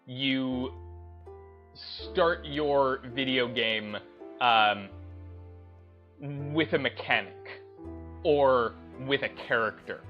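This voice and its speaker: A man giving off-the-cuff opinion commentary on a gaming channel, speaking in halting, emphatic short bursts. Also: mid-range voice, muffled recording.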